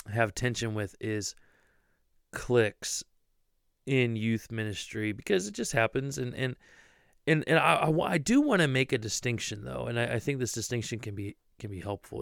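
A man's voice: medium pitch, vocal fry, dry recording, clean studio sound. A man from Ohio co-hosting a ministry podcast in casual chat, talkative, often repeating words as he starts a thought. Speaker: podcast co-host, youth pastor.

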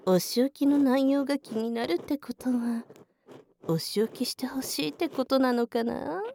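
A woman's voice: high-pitched, slight background noise, heavily acted. A woman voicing a bossy, teasing tsundere childhood friend working as a cafe waitress in an intimate ASMR voice drama, often slipping into whispers. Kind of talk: Japanese ASMR situation voice drama.

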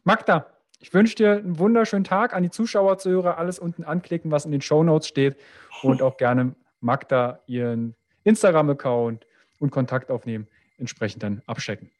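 A male podcast host with a slightly raised pitch. He speaks in a friendly, brisk way as he wraps up the episode. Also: a little mellow. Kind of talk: podcast interview.

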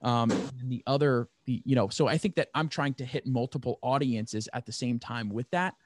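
A man's voice: mid-range voice, normal speed, no echo, clean studio sound. A man in his twenties chatting casually as he seeks advice, rambling and earnest. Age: 20s. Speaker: podcast host, first-time writer seeking advice. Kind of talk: casual chat.

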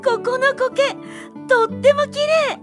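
An actress playing a shy, gentle young woman who loves plants in a Japanese audio drama, speaking softly and earnestly. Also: very high-pitched, steady tone, takes it slowly, over music. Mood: delighted.

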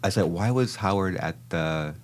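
A man with a deep, low voice hosts a casual podcast interview. He talks eagerly in a loose, slangy way and often trips over and repeats his words. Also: moderate pace, a little hiss or hum. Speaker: podcast host.

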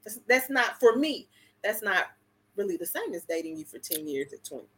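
A woman's voice: mid-range voice, sing-song, moderate pace, slightly rough. A woman, co-hosting a casual chat, blunt and emphatic as she talks. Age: 40s. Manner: blunt, emphatic, laughs loudly. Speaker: co-host.

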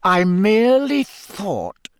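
A man's voice: high voice, wildly swooping pitch, takes it slowly, no echo, voice pushed up, heavily acted. A man voicing a cold, suspicious male professor for an audiobook, menacing and curt, with clenched, hissing words. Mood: forced calm. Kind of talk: audiobook character voice.

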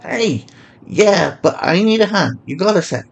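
A man's voice: high-pitched, animated, a little slow, vocal fry, breathy edge, voice pushed up, exaggerated voice. A man voicing a mysterious, urgent homeless man in a narrated story. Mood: calling, coaxing. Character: mysterious grimy homeless man, urgent, coaxing, offering gifts.

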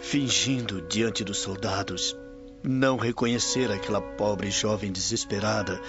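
An earnest Roman soldier played by a man in a radio play, burdened with remorse. His voice is fairly low and formal. Loud music runs under a dull recording. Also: measured pace, close to an everyday voice. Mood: remorseful, confessing. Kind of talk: radio drama acting.

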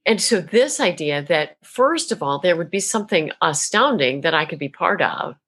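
A woman from Tennessee with no regional accent, an animated, expressive storyteller talking as a podcast guest. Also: lowish voice, moderate pace, husky. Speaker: guest, author and minister. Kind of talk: casual interview talk.

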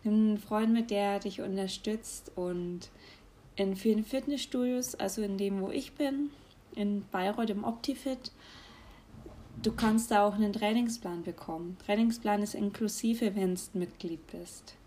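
Slow-talking young woman, chatty. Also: mid-range voice, mellow. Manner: chatty, laughs often, hesitant fillers. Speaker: vlogger. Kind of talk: casual advice talk.

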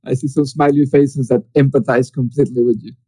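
A man's voice: mid-range voice, little pitch movement, brisk, mellow, husky, no echo, low-quality recording. Host: formal man, reads his intro, dry close sound. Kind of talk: hosting an interview series.

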